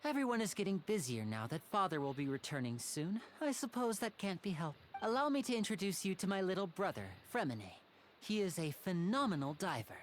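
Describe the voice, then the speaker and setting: A woman playing a polite, composed, caring elder sibling in a video game, with a gently formal manner. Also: on the low side, sing-song, a little fast, slight background noise. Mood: poised, polite.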